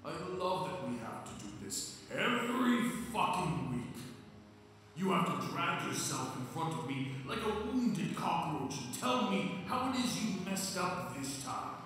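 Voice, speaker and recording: A man's voice: fairly high pitch, fairly bright tone, exaggerated voice. A man playing a cruel, contemptuous ruler who berates people with biting sarcasm in an audio roleplay. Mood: sneering contempt. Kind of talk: ASMR roleplay acting. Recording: echoing hall, distant, faint noise.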